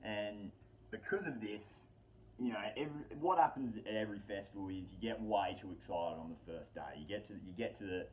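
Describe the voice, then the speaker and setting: Male storyteller, low-pitched, casual and rambling, slangy and sweary, leaning on repetition for dramatic effect. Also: brisk, slight background noise, poor audio.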